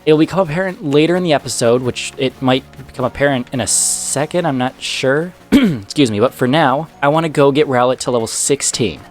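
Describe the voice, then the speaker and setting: A man commentating his own let's play with an everyday middle pitch, chatty and quick to react aloud to each turn. He sounds slightly sick, his voice a touch off. Faint game music plays underneath him. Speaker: gaming channel host.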